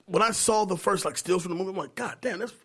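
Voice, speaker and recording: A man's voice: sits high, fast talker, fairly bright tone, husky. A man hosting a casual podcast chat, talking in slang with frequent swearing.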